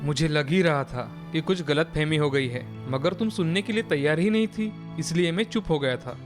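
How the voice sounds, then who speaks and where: Man as easily swayed young husband, cartoon drama, earnest, slightly high pitch. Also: normal speed, faint music behind, natural voice. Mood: apologetic.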